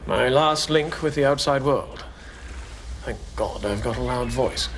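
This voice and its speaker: A man's voice: mid-range voice, a little slow, slight background noise, lightly acted, poor audio. A man playing a stammering stranded rower. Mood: deadpan. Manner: dry, deadpan diary reading. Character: foolhardy man rowing alone, stranded, stiff upper lip.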